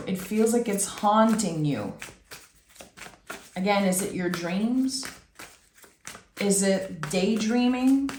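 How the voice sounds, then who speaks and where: A woman with a raspy voice giving a soft, reflective tarot reading, speaking directly to the listener as host. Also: medium pitch, roomy sound, slight background noise. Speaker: tarot reader and channel host.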